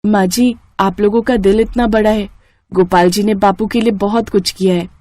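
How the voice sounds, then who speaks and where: A woman acts a dutiful young village bride from a cartoon morality tale. Her medium-pitched voice is polite, sweet and earnest. The recording is very dry. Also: fairly even intonation, normal speed, warm tone, dry recording, natural voice. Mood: grateful, earnest. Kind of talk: cartoon moral story acting.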